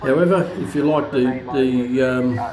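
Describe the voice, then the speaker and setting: A man giving a casual, unscripted product demonstration as presenter, halting and searching for words. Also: medium pitch, crowd noise, low-quality recording. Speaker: presenter of a hobbyist radio review video. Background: radio broadcast voices playing from the demonstrated receiver.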